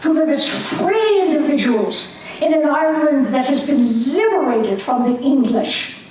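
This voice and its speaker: A woman's voice: high-pitched, animated, normal speed, darkish tone. An older woman acting a spirited young Irish nationalist in an amateur stage reading, earnest and needling. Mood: fervent. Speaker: community theater actor. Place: community stage hall. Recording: echoey hall, mic far off, faint hiss.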